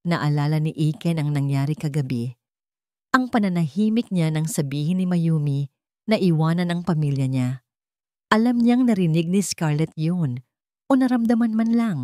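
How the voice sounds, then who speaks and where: A Filipino woman reads scripted romantic fiction as a storyteller. Her voice sits fairly low, and the sound is dry, with almost no room around it. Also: moderate pace, a little mellow, no room sound.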